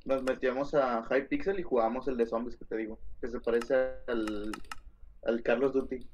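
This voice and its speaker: A man's voice: mid-range voice, fairly even intonation, moderate pace, darkish tone, a little hiss or hum. A young man chatting with a friend over a gaming session, laid-back and slangy, laughing at his own mishaps. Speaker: co-player on call. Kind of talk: casual gaming chat.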